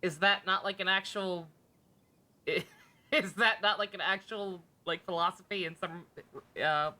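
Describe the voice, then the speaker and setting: Bright, low-pitched woman streaming games. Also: steady tone, a little slow. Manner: sweary, sarcastic, wry. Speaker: livestreamer. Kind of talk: casual game stream commentary, chatting with viewers. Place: indoor game streaming setup, game music behind.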